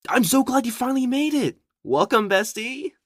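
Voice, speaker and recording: A woman voicing a bubbly, friendly, excitable young creature with an exuberant, chatty manner, in an ASMR audio roleplay. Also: fairly high pitch, normal speed, no echo, clean studio sound. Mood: excited.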